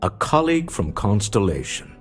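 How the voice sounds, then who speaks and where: An actor playing a guarded, gruff executive husband in a video game, husky-voiced, curt and businesslike. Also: male voice, lowish voice, sing-song, moderate pace, soft background music.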